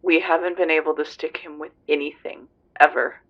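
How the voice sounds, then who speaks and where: A man's voice: a little high, little pitch movement, moderate pace, creaky. A man playing a tough, serious police investigator on a phone call in a roleplay audio drama, terse and grave. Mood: serious, informative. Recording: dull lo-fi phone-call sound.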